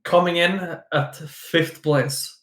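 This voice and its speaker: A man's voice: on the high side, fairly even intonation, slow, a bit bright. Giggly man in a roomy echo. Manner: playful, giggly, rambling with restarts. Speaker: guest, esports player. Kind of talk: casual chat on a stream.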